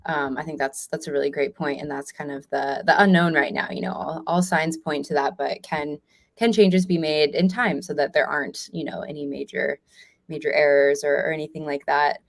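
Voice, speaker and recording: A woman's voice: deep voice, fairly even intonation, moderate pace, vocal fry. A woman with an American accent hosting a conversational interview as a reporter, in an informal, affirming manner.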